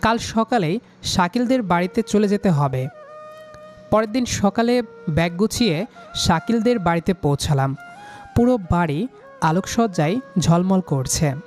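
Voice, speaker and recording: A man's voice: high-pitched, lively intonation, measured pace, long pauses, faint music behind. A young man reading a scripted romantic story in the first person, voicing the lead character's lines.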